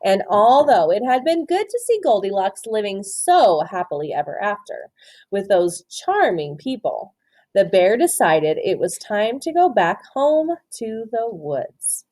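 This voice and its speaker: Animated woman teacher reading a storybook aloud. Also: medium pitch, unhurried, dry recording. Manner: expressive, questioning, explains to young listeners. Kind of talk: interactive children's storybook read-aloud.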